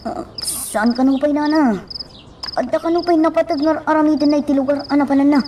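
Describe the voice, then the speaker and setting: A woman's voice: high voice, fairly even intonation, brisk, far from the mic, background noise, close to an everyday voice. A woman with an Ilocano accent acting a deferential, concerned helper in a radio drama. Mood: polite, hesitant.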